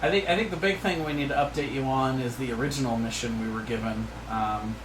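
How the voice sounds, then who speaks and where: Male tabletop player, medium pitch, careful casual discussion with restarted phrases, echoey recording. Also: steady tone, fast talker. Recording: roomy echo, background noise.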